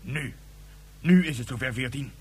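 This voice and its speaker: Casting: scheming male colonel, sci-fi radio villain, medium voice, deliberate and commanding. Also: mid-range voice, breathy edge. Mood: gloating, menacing. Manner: deliberate, gloating orders. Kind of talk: Dutch science-fiction radio play acting.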